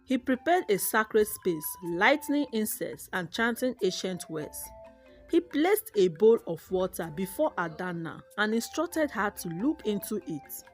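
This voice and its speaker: A woman narrates a scripted folktale in an expressive, dramatic manner, with background music. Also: medium pitch, unhurried. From Nigeria. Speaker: storyteller, narrator.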